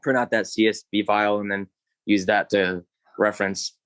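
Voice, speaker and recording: A man's voice: fairly low pitch, little pitch movement, normal speed, fairly bright tone, dry recording. A man who works as a touring audio technician, asking a technical question as a participant in an online session.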